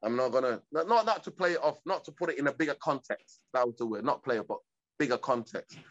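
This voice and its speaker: A man's voice: medium pitch, animated, fast, fairly bright tone. Reflective man from East Africa, lo-fi. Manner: rambling, repeats "you know what I mean". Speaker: guest. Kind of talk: reflective casual conversation.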